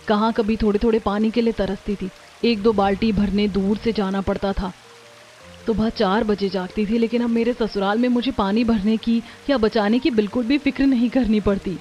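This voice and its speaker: A woman's voice: mid-range voice, fairly even intonation, normal speed, dark tone, dull audio, faint music behind, lightly acted. A woman voicing a sweet, grateful, emotional young daughter-in-law in a cartoon story.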